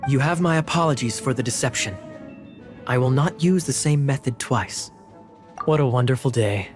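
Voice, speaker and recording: A man's voice: mid-range voice, moderate pace, lightly acted. A man playing a courteous, slyly confident knight officer in a video game, with polished, formal delivery. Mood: suave. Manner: polished, formal, smooth-talking. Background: background music.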